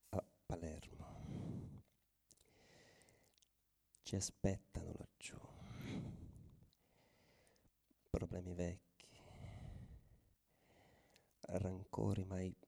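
Gravelly low-voiced football ultra. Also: male voice, mellow, heavily acted. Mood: hushed, brooding. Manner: colloquial, brooding, swinging between murmur and agitated outburst. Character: hardened male football ultra recounting his world, streetwise, intense. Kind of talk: theatrical radio monologue, narrating.

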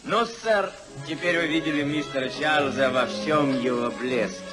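An actor playing a sober, disapproving and respectful confidant in a 1950s radio play. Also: male voice, on the high side, moderate pace, close to an everyday voice. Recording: 1950s radio recording with loud music.

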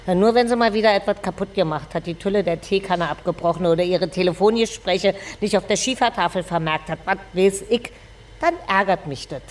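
A woman's voice: mid-range voice, a little fast, natural voice. An actress playing a gossipy, earthy Berlin landlady in a radio drama, chatty and coy, then blunt. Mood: chatty, indignant.

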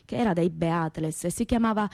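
A woman's voice: lowish voice, fairly quick, vocal fry, darkish tone, no room sound, studio quality. A woman playing a naive, plain-spoken young working-class woman from Reggio Calabria, in a theatrical monologue with a Calabrian accent. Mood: confiding, earnest. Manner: colloquial dialect-tinged telling, repetitive. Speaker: stage actress, narrator. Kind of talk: theatrical first-person monologue, radio theatre storytelling.

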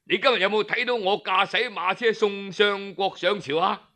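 A man's voice: high-pitched, moderate pace, bright, forceful tone, pitched above the performer's own voice. A man voicing a boastful coachman in Cantonese, a cocky husband with a swaggering, colloquial manner. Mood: boastful, baffled.

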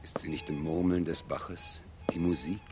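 A man's voice: rumbling low, little pitch movement, moderate pace. An actor playing an earnest, dreamy, easily flustered male music researcher in a radio play, speaking very expressively. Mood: rapt, poetic.

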